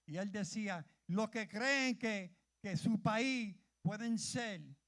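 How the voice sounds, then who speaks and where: Fervent high-voiced man preaching. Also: slow, a bit bright. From Puerto Rico. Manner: fervent, calls out questions to the congregation, stumbles over words. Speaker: church leader preaching. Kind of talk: sermon.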